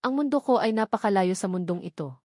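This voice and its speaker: A woman's voice: medium pitch, lively intonation, quick pace, dark tone, no echo, studio quality, lightly acted. A woman voicing a humble, vulnerable young woman from the province in an audio romance, meek and emotional. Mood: subdued.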